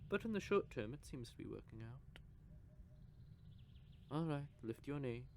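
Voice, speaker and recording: A man voicing a cocky, possessive male vampire who teases with soft whispered asides, in an audio roleplay. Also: mid-range voice, very animated, measured pace, vocal fry, a little hiss or hum. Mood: smug. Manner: teasing, smug, with soft whispered stretches.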